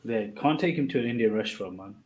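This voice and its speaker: A man's voice: on the low side, animated, fast talker, darkish tone. A man chatting casually on a podcast, joking and teasing his friends. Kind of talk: casual chat.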